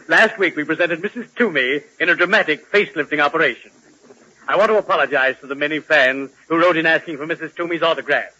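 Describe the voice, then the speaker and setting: Casting: pompous clinic professor, piercingly bright, fairly high, brisk showman, 1940s radio sound. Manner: formal showman's patter. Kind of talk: 1940s radio comedy acting. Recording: dull, narrow vintage radio broadcast.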